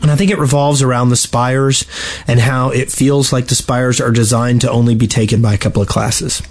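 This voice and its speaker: A man's voice: mid-range voice, level tone, fairly quick. A man hosting a podcast, chatting casually about gaming. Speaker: podcast host steering segments.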